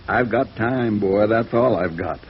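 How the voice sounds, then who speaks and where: An actor playing a tired, amiable, seedy lawyer of about forty in an old American radio drama, folksy and rambling. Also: male voice, fairly low pitch. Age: 40s. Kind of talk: 1950s radio drama acting. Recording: muffled, noisy vintage radio recording.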